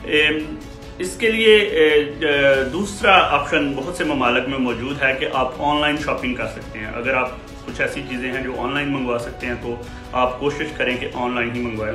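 A man in his forties giving measured advice to camera from a small room at home, pausing often with fillers. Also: mid-range voice. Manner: measured, with frequent pauses and fillers. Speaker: commentator. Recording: roomy small room with faint music.

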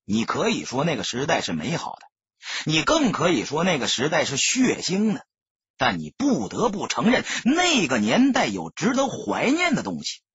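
A man narrating an audiobook in a dramatic storytelling style, with a husky, raspy voice. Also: mid-range voice, a bit bright, dry recording, dull audio.

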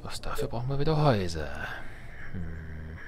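A man's voice: low-pitched, very animated, normal speed, darkish tone, slight background noise. A man giving casual let's play commentary, thinking aloud and muttering to himself as he goes. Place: indoors, voice-over on game capture.

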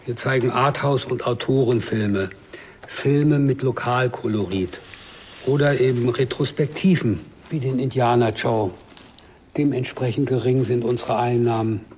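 A man plays an earnest cinema operator in a TV drama. He explains things formally in a mid-pitched voice. Clear noise runs behind him. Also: a little slow, darkish tone, close to an everyday voice.

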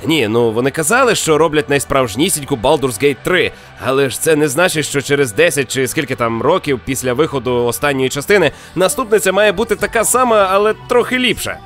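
A man's voice: on the high side, animated, fairly quick, hardly any pauses, fairly bright tone. A man co-hosting a scripted video game news show, delivering banter and opinions with theatrical sarcasm. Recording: music bed behind the voice.

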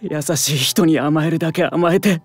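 An actor playing a gentle, guilt-ridden young man in a Japanese voice drama, crying as he speaks in a breathy, broken voice. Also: fairly high pitch, moderate pace, soft background music, lightly acted. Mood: tearful remorse.

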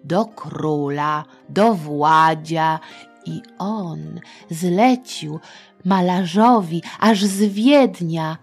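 A woman podcast host telling history stories for children in a chatty, playful, expressive way with little asides to the listener. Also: low voice, vocal fry, slightly rough. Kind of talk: informal history storytelling for children.

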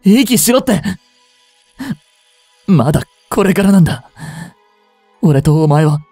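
Casting: male actor as young cop boyfriend for audio drama, mellow and breathy, emotional, halting and slow. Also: on the high side, animated, no echo, faint music behind, full character voice. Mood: anguished, urgent. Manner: halting, emotional delivery with sighs and pauses. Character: anguished young male police officer, devoted boyfriend. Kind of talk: dramatic Japanese situation voice acting.

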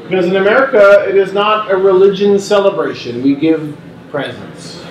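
A man in his forties reads interview answers aloud in a measured, unhurried way, stopping to restart phrases, in a small indoor gallery room. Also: sits high, slight background noise. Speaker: performer reading interview notes. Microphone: far camera mic. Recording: roomy distant sound with faint ambience.

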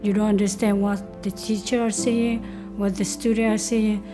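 Young woman, flat and plain, matter-of-fact interview. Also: medium pitch, normal speed, over music.

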